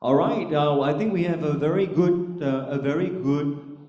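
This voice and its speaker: Mellow male host in a reverberant hall. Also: a little high, normal speed. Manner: filler-heavy, audience-addressing. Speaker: host, moderator. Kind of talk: hosting a virtual event Q&A. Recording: roomy hall with long reverb.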